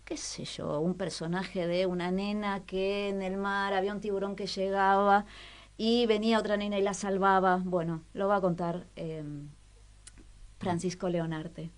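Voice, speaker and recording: A woman hosting a radio culture segment, talking casually with many small pauses and fillers. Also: mid-range voice, level tone. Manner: chatty, hesitant with frequent fillers.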